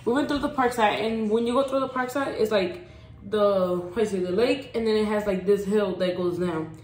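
A woman telling a story as a vlog co-host in casual, bantering conversation, quick to correct and interject. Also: mid-range voice, darkish tone. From Puerto Rican family. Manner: informal, bantering, often correcting co-speaker. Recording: echoey room, clear background noise, clicks.

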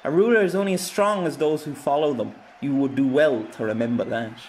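A man's voice: medium pitch, a little fast, slight background noise, natural voice, low-quality recording. A man voicing a jovial, teasing veteran swordmaster in a fantasy audiobook. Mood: stern. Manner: playful, teasing.

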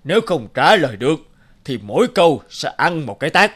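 An actor playing a smug, eccentric middle-aged landlord who bullies with theatrical mock courtesy in a cartoon fairy tale. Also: male voice, fairly high pitch, very animated, brisk, fairly bright tone. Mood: sly, commanding.

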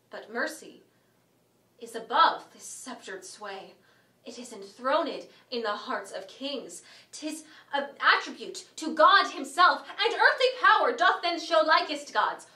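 A woman playing a solemn advocate pleading for mercy in a formal, measured classical stage monologue. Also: sits high, sharp tone, heavily acted. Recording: echoey room, distant microphone.

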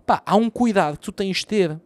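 A Portuguese man with a Lisbon accent rants through a solo podcast, swearing freely and throwing his arms around as he talks. His voice sits a bit high for a man and comes across close and dry, recorded on a boom mic right at his mouth in a small home room. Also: a little fast, no room sound. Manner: profane, ranting, animated with big arm gestures. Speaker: comedian podcast host. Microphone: boom mic close to mouth, wearing headphones.

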